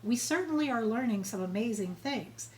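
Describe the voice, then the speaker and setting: A woman's voice: on the high side, animated, normal speed, a little hiss or hum, lightly acted. A woman voicing a polite, frank young schoolgirl in a matter-of-fact way for a children's storybook. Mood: matter-of-fact, candid. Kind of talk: children's storybook read-aloud.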